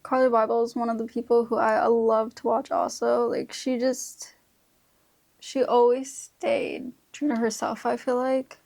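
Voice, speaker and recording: Creaky-voiced young woman, casual and chatty. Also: fairly high pitch, little pitch movement, measured pace, darkish tone. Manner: informal, slangy speech with frequent "like". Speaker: beauty vlogger. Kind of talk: casual chatty makeup tutorial.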